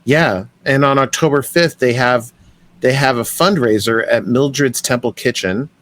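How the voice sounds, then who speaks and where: A man's voice: mid-range voice, normal speed, a bit bright. A man co-hosting a casual podcast, eagerly introducing a guest with frequent restarts, over a remote call. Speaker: podcast co-host. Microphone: remote call mic.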